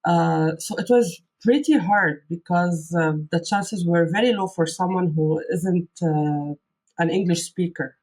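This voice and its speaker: A woman's voice: lowish voice, unhurried, darkish tone, poor audio. Lebanese-raised woman, arabic first language, candid chatty interview answers, lots of uh's. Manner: earnest, candid, frequent fillers and restarts. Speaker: guest copywriter.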